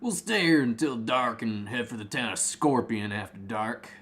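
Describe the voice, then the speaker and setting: A man's voice: mid-range voice, expressive, normal speed, fairly bright tone, close to an everyday voice. A man playing a seasoned, wary desert nomad in a story reading, giving matter-of-fact advice. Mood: calm warning.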